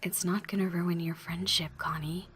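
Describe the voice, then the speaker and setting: An actress playing a stoic, supportive young woman in an amateur anime-parody audio drama, speaking calmly and in a measured way. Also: fairly low pitch, breathy edge. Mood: calm reassurance. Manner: calm, measured.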